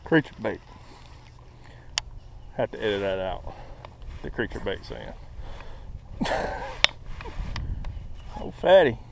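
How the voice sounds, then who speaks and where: A man, chatting casually and exclaiming while bass fishing from a boat on a lake, recorded on a mounted action camera. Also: takes it slowly, gravelly, pauses often. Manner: excitable, exclaiming at bites. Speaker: angler filming his fishing trip. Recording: loud wind and boat noise.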